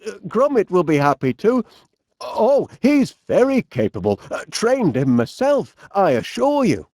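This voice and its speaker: Bumbling middle-aged inventor, Northern English accent, unhurried pace, very animated pitch, genial, hesitant, comedy game character, close dry sound. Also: male voice, dry recording, exaggerated voice. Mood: flustered, apologetic.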